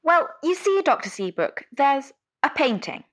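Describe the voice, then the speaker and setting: Actress as anxious woman caller, high-pitched, lively swings, hesitant, earnest, devoted, radio drama phone-in. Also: measured pace, exaggerated voice. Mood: worried. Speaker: caller to radio advice show. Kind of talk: scripted audio drama phone-in.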